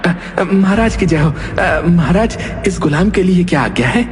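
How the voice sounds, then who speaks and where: A man's voice: sits high, lively intonation, moderate pace. A man playing a timid, scheming fake seer in a cartoon, with a hesitant, humble manner. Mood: obsequious, nervous. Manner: obsequious, hesitant, self-pitying. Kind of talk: Hindi cartoon voice acting. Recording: long hall reverb, loud music behind.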